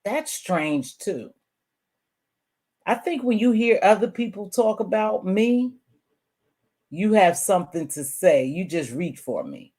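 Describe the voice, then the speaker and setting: A woman with a hoarse voice, ranting at her online viewers in a casual, scolding way that swings between loud outbursts and low mutters. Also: expressive, slow, pauses often. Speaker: online streamer. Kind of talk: heated casual rant to viewers.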